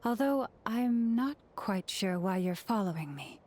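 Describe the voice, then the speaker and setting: A woman's voice: mid-range voice, lively intonation, unhurried, a little mellow. A woman playing a composed, dutiful young priestess leader in a video game, speaking formally and courteously. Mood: polite, gracious. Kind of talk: video game character acting.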